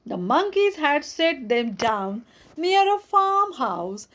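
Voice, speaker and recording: A woman reading a children's story aloud in an expressive storytelling manner. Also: sits high, slow. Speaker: audiobook narrator.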